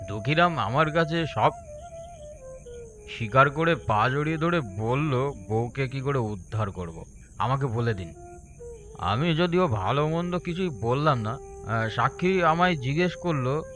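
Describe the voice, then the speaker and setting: A man's voice: mid-range voice, a bit bright. A man voicing a respectable, self-righteous village elder who testifies and admonishes in a storytelling reading. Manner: preachy, scolding.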